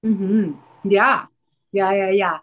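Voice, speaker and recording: A woman's voice: mid-range voice, steady tone, slow pace, lo-fi sound. Peruvian-accented woman telling her story. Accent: Peruvian Spanish. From Peru. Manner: storytelling with frequent fillers and restarts. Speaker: guest, soul alignment mentor. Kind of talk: casual podcast conversation.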